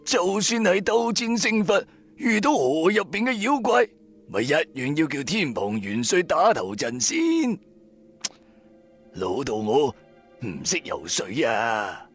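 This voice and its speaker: A man's voice: fairly high pitch, very animated, slow, slightly rough, soft background music, exaggerated voice. A man voicing a sly old blind fortune-teller, a preachy mystic with a theatrical, persuasive manner, in an audio drama. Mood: mocking, boastful. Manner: theatrical, persuasive, self-righteous.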